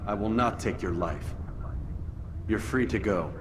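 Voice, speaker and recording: A man's voice: on the low side, unhurried, noisy background, natural voice. A man playing a stern, stoic young medieval assassin in a video game, speaking curtly and formally. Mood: stern, resolute. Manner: curt, solemn, formal. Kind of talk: dramatic video game cutscene acting.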